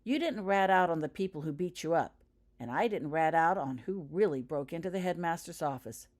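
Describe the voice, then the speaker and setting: Woman as stubborn schoolboy, blunt, matter-of-fact, earnest, low-pitched female voice, audiobook dialogue. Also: animated, a little fast, a little mellow, close to an everyday voice.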